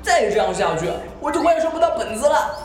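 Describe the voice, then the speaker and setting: A woman playing an excitable, theatrical young male idol in a Chinese audio drama. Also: high voice, fast, roomy sound, faint music behind. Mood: whiny, demanding. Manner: theatrical, gushing, pouty.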